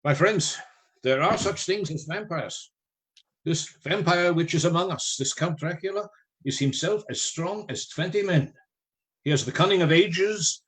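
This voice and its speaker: A man playing a learned, commanding vampire hunter in a radio play, with formal, deliberate oratory. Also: a little high, fairly bright tone, low-quality recording. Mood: grave, commanding.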